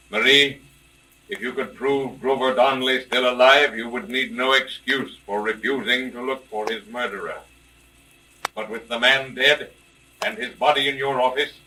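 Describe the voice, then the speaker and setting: An actor playing a grave ghostly father and unseen boss in an old radio mystery, speaking in a solemn, formal, measured and commanding way. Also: medium pitch, sharp tone. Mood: stern command. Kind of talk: 1930s radio mystery acting. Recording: distant, noisy vintage radio recording.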